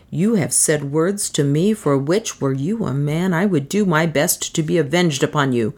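Woman narrator playing stern male adventurer, low-pitched, solemn, formal, proud, audiobook drama. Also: a little mellow. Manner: grave, formal, firm.

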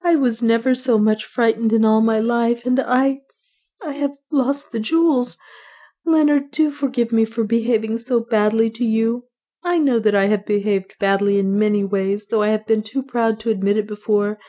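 A woman's voice: a little high, lively intonation, moderate pace, dark tone, breathy edge, pitched above the performer's own voice. A woman voicing a proud young woman who is frightened, tearful and pleading in an audiobook adventure. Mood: tearful confession. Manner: faint, emotional, pleading.

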